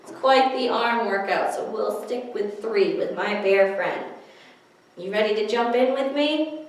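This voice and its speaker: The voice rings in a very echoey room, a little far from the microphone. It is a high-pitched woman leading story time for small children. She explains rhymes in simple, encouraging, sing-song phrases. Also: measured pace. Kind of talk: nursery rhyme instruction for young children, with singing.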